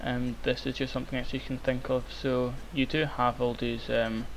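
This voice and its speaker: Young guy, croaky sick voice, flat delivery, cheap mic with a bit of hiss. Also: medium pitch, normal speed, rough recording. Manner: rambling, many ums. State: hoarse from illness. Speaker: tutorial maker. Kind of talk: screen-recorded software tutorial. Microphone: cheap microphone.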